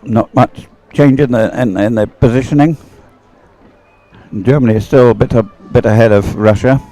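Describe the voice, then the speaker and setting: A man giving low-key live race commentary in halting, broken phrases. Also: warm tone, poor audio.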